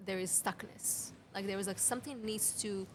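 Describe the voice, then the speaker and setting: A woman in her thirties speaks English with a Serbian accent, her second language after Serbian. She talks at a middle pitch in an earnest, explaining way, stacking up rhetorical questions and making small grammar slips. Faint background noise sits under her voice in a cafe. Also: fairly even intonation, normal speed. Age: 30s. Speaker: guest, women's health mentor. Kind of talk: conversational podcast interview.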